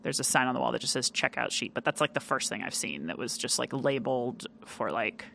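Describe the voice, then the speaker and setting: Woman, podcast guest, loud, chatty, filler words, bright tone, faint room noise. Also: very deep voice, lively intonation, brisk, creaky. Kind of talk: casual interview chat.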